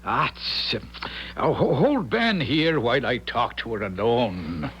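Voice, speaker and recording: An actor playing a blustering Irish gambler squire in a 1970s radio drama, with a stammering, theatrical delivery. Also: male voice, mid-range voice, expressive, slow, a bit bright. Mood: agitated, grim. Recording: dull, noisy 1970s radio broadcast.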